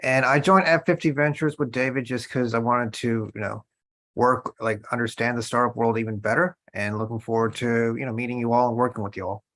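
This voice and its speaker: A man with a mid-pitched voice speaks casually, his phrasing loose and filled with "you know" and "like." He introduces himself as an organizer who works with startups. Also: fairly even intonation, fairly quick, a bit bright. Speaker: event organizer, podcast host. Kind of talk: self-introduction at an online event.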